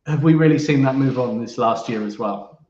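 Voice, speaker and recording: A man's voice: medium pitch, moderate pace, a little mellow. Roomy, muffled male interview host. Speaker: host, company co-founder. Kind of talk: live business interview, casual chat. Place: indoor room, online live stream. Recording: roomy, muffled microphone with background noise.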